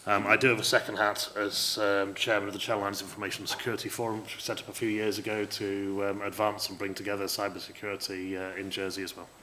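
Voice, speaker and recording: Guy on a panel, bright kinda flat voice, lots of ums. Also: fairly low pitch, a little hiss or hum. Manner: measured, hesitant with many ums. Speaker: corporate security chief. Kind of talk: panel introduction. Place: conference hall at a tech fair.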